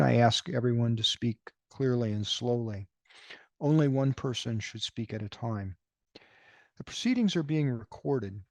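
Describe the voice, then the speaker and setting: A man chairing a public commission hearing, reading procedural statements in a measured, deliberate way. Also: mid-range voice, creaky, a little mellow, no echo. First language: not Romanian. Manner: measured, deliberate official delivery.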